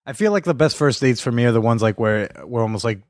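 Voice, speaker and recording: Guy hosting a podcast, mid pitch, quick questions, dry sound. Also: animated, no room sound. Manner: short questions and back-channel replies. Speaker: podcast host. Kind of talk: casual interview chat.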